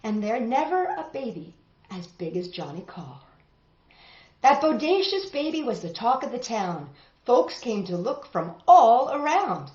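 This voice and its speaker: A woman reading picture books aloud to children, expressive and exclaiming, lingering on words and adding chatty asides. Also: on the high side. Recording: dull, echoey room recording.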